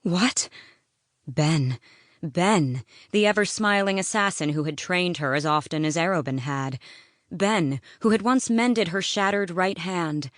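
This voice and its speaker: Low-voiced woman narrating dramatic fiction. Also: a little slow, no echo, studio quality. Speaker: audiobook narrator.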